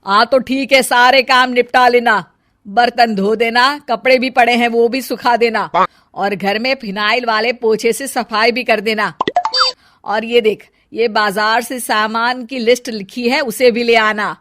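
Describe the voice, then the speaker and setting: A woman voicing a bossy, suspicious middle-aged mother-in-law in a cartoon story who gives loud, scolding orders. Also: a little high, steady tone, unhurried.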